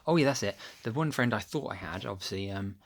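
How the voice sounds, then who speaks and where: Low-voiced man rambling to camera. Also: expressive, quick pace. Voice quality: low, deep voice. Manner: rambling, loses his thread with many ums. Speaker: vlogger. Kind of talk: casual chat to camera. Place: at home, upstairs room. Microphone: phone camera held close.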